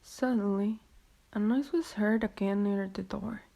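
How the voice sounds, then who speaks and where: Mellow-voiced woman narrating a radio story. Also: medium pitch, steady tone, a little slow, creaky. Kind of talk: scripted radio drama storytelling.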